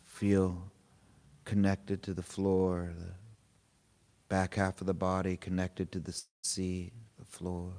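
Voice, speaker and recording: A man leading a guided meditation, speaking in short, unhurried, gently informal phrases with pauses between them. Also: low-pitched, monotone. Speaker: meditation teacher. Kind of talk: guided meditation, spoken softly to a group.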